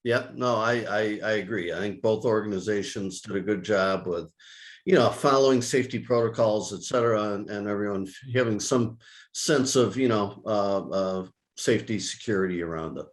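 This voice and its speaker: A man with a fairly low voice co-hosts a podcast from a studio. He speaks in a measured way, pausing on uhs. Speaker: podcast co-host. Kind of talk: podcast interview.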